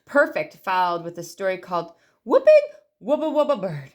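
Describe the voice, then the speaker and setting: A woman's voice: on the low side, very animated, moderate pace. A woman reading a picture book aloud to children expressively, stretching key words for emphasis. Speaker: library storytime reader.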